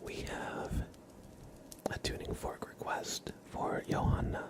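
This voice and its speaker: A man hosting an ASMR livestream, talking in a gentle, reassuring, soothing way. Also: slow. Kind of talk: whispered ASMR, soothing affirmations and chat to viewers.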